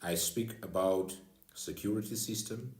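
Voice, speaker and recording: An older man, a retired professor and security consultant, giving a personal video address in halting non-native English with pauses and restarts. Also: on the low side, a little mellow, roomy sound.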